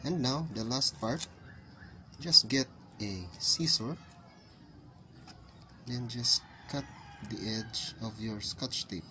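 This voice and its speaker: A man giving informal step-by-step instructions in halting short phrases, pausing often as he presents a hands-on tutorial. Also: mid-range voice, pushed and bright, a little hiss or hum. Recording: outdoor ambience with birds and roosters. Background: roosters crowing, chickens, birds.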